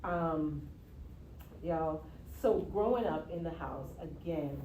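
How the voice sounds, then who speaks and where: A woman from the US Virgin Islands, a guest telling her story in a casual interview, expressive and quick to laugh. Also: low-pitched. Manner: expressive, laughs easily, repeats "yeah". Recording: roomy, from a distance, faint noise.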